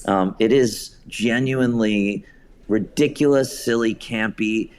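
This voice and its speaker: A man's voice: lowish voice, slow pace, darkish tone, clear, resonant tone. An American man talking reflectively as an interview guest about his creative work. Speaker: podcast guest, theater writer and director.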